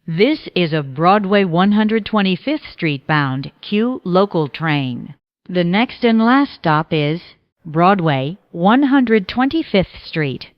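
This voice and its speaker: A woman delivers formal transit announcements at a slow pace. Her pitch is a little low but moves up and down distinctly, with measured, segmented phrasing. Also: animated, no room sound, dull audio. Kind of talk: scripted automated train announcements.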